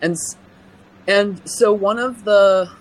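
Slow, halting woman with a deep voice. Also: a little hiss or hum, poor audio. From California. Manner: halting, earnest, many pauses and restarts. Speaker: guest. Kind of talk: personal testimony in an interview.

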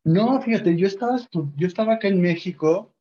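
A man's voice: high voice, a little fast, dark tone, highs cut off. A man in his late thirties from Sonora, Mexico, with a northern Mexican accent, talking animatedly as an interview guest. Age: 30s. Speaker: guest, yoga teacher. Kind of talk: casual interview storytelling.

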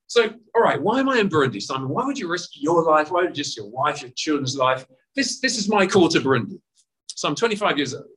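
Animated, high-pitched male preacher. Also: fast. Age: 40s. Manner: lively, conversational preaching with rhetorical questions. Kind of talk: sermon. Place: outdoor car park service.

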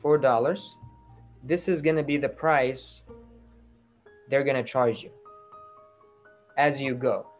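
A man giving a reassuring, persuasive sales pitch as a marketing agency salesman on a recorded call. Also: fairly even intonation, slow pace, pauses often. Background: music.

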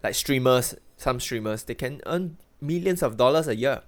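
A man's voice: medium pitch, animated, moderate pace. A man, co-hosting a podcast in casual, teasing banter. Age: 30s. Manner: joking, teasing, laughs often. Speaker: podcast co-host.

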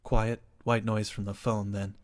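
A man's voice: on the low side, little pitch movement, unhurried. A man reading his own first-person short story as dramatic scripted narration.

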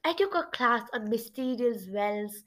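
A woman voicing a confident, curious female storybook sleuth in a congested, nasal voice, with a brisk, teasing manner. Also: a little high, expressive, fairly bright tone. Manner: brisk, self-assured, teasing.

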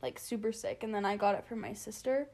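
A woman's voice: medium pitch, a little fast, creaky, darkish tone. A young woman in casual chat with a friend, telling stories with lots of "like" and frequent laughter. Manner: chatty storyteller, lots of "like" and laughs.

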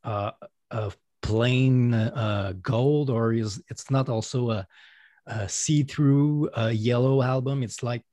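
A man's voice: mid-range voice, steady tone, takes it slowly, mellow, no echo, studio quality. A man from Quebec with a French Canadian accent, French as his first language, chatting hesitantly as a guest musician with many fillers and restarts. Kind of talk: casual podcast chat.

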